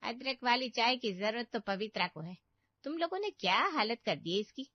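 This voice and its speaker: A woman's voice: a little high, lively intonation, normal speed, no echo. A woman voicing a boastful, fault-finding elder aunt in a Hindi cartoon family story, with a scolding, exclamatory manner. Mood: critical, boastful. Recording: dull, muffled studio voice track.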